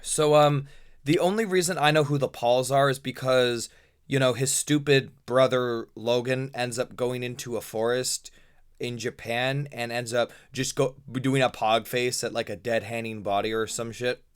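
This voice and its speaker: A man's voice: medium pitch, fairly even intonation, normal speed, smooth. A man hosting a casual podcast with friends, rambling and sarcastic, steering the talk back on topic. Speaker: podcast host.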